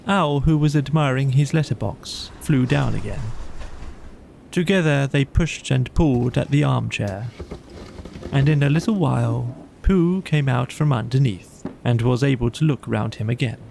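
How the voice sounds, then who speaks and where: A man's voice: mid-range voice, normal speed, gravelly, a little mellow, slight background noise. A man narrating a children's bedtime story as an audiobook reader, with faint ambience behind him.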